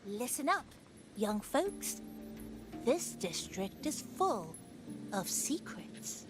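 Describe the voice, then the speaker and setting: A woman's voice: fairly high pitch, sing-song, music in the background, heavily acted. A woman voicing a theatrical, self-important old-timer sleuth in a video game, drawing out words pompously. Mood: conspiratorial, boastful. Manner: dramatic, drawn-out, pompous. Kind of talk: game character acting.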